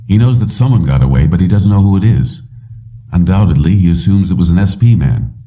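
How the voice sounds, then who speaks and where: A man voicing a determined, self-assured male fugitive in an audiobook, measured and decisive. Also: deep voice, expressive. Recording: muffled, dull, distant-sounding.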